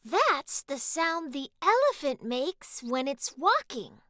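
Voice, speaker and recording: A woman's voice: high voice, very animated, slow, a bit bright, no echo, clean studio sound. A woman co-hosting a playful children's reading lesson and reading character parts. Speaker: co-host and character reader.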